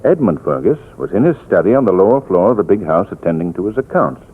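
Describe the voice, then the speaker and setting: A worldly gentleman storyteller in a vintage radio mystery, low and calm with expressive swings in pitch. The sound is narrow and tinny, like an old broadcast. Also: fast talker, husky, full character voice. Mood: measured storytelling. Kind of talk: 1940s radio drama acting. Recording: tinny 1940s radio recording.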